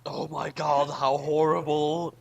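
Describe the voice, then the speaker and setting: A man's voice: fairly high pitch, level tone, slow pace, creaky, a crowd in the background. A man reading a story aloud theatrically and chatting casually with friends. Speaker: co-host reading fan fiction aloud.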